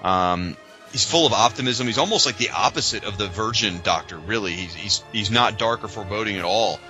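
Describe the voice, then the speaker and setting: A man co-hosting a podcast, chatting casually and informally like an animated fan. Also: fairly low pitch, brisk, soft background music. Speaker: podcast co-host.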